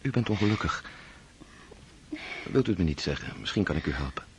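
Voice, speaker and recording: A gentle, low-voiced family friend. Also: male voice, animated, brisk, noisy background. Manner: gentle, probing. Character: thoughtful, kindly male family friend. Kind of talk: radio play acting.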